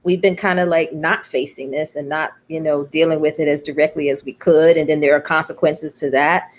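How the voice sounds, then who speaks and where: A woman with a slightly low voice talks candidly in an interview about her creative work. She is reflective and expressive, slipping into quoted remarks as she goes. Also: fairly quick, a little hiss or hum. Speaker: playwright being interviewed.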